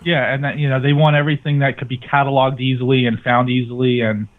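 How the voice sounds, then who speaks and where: A man's voice: mid-range voice, level tone, fast talker. A middle-aged man chatting casually as a guest on a talk show over a call. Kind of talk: casual chat. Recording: tinny phone-like line.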